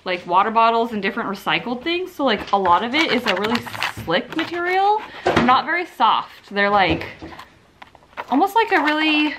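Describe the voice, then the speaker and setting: A woman's voice: on the high side, sing-song, normal speed, noisy background. A woman in her thirties chatting casually through a beauty haul at home, upbeat and full of "like" fillers, seated close to the camera. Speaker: beauty vlogger. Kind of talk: casual product haul chat. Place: living room at home, indoors. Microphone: camera mic, seated close to the camera.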